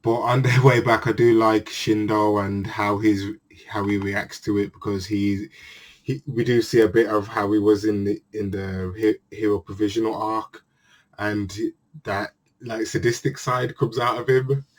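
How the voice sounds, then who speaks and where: A low-voiced man talks casually and earnestly as a channel host recapping a manga chapter. He often stops partway through a phrase and starts it again. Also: level tone, a little slow. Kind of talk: casual spoken review monologue.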